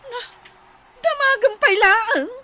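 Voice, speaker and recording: A woman's voice: very high voice, slow pace, bright, forceful tone, heavily acted. A woman playing a harried, exasperated village housewife in a comedy radio drama, exclaiming and complaining as she talks.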